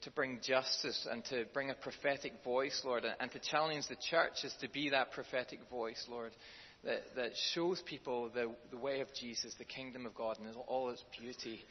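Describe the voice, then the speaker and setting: A man's voice: medium pitch, steady tone, moderate pace, husky. A man leading a church service, introducing a guest and praying aloud with hesitant, repeated words. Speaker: church leader and service host. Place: church hall. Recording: dull distant hall recording.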